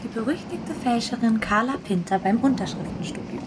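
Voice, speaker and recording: A woman's voice: fairly high pitch, a little fast, background noise, lightly acted. An actress playing a cool, calculating, bossy female accomplice in a German radio drama, speaking in a sardonic, matter-of-fact way. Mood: sly, pleased. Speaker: supporting character.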